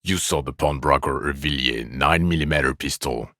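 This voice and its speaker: An actor voicing a bitter, exasperated police detective in a video game, with scornful, biting sarcasm. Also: male voice, extremely low pitch, normal speed, creaky, bright, forceful tone, no room sound, studio quality, full character voice. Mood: angry, bitter.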